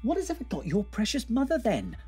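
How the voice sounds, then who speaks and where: A performer playing an affectionate family father in a Christmas audio drama, with a husky voice. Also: mid-range voice, huge pitch swings, brisk, darkish tone, soft background music, heavily acted. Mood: fond, fussing.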